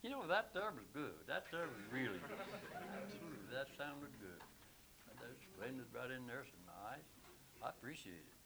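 A man's voice: mid-range voice, sing-song, moderate pace, creaky, noisy background. An older man who directs a church choir, talking in a homespun, plain-spoken way between hymns. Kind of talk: folksy chat between hymns.

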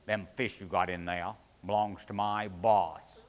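A man voicing a surly old sea serpent in a folk tale, gruffly bossing and threatening. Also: fairly low pitch, normal speed, close to an everyday voice. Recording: hall reverb, live-sounding room.

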